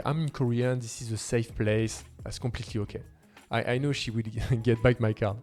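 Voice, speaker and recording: A man's voice: mid-range voice, darkish tone. Male vlog host, native French speaker with French accent, mid-range voice, casual non-native phrasing, light music underneath. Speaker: language-learning video host. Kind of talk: casual talk to camera.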